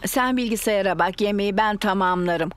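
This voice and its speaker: A woman voicing a patient, cheerful cartoon mother pig with a gentle, sing-song motherly manner. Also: mid-range voice, brisk, clean tone, no echo, close to an everyday voice. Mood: calm. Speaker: Mummy Pig voice in a cartoon dub. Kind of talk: cartoon dubbing.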